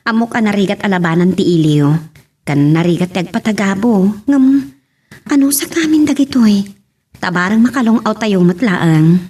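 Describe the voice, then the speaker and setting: Earnest, husky-voiced married mother, acted by a woman. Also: medium pitch, expressive, moderate pace, darkish tone. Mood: earnest, reassuring. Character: earnest married mother set on working abroad. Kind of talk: amateur radio-style drama acting.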